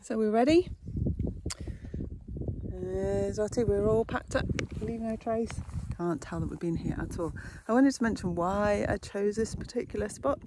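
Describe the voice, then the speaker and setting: A woman's voice: medium pitch, measured pace. A woman in her thirties chatting casually to a phone camera held at arm's length outdoors on a hill, with lots of ums and little laughs. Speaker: hiking vlogger. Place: windy fell top and tent outdoors. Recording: faint wind noise outdoors.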